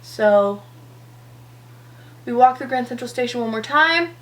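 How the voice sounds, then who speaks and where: A seventeen-year-old girl chatting casually through a makeup routine, slightly hoarse from a cold, rambling with lots of "um" and "like". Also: fairly high pitch, expressive, measured pace, a bit bright. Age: teenager, 17. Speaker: beauty vlogger. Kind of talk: chatty get-ready-with-me vlog.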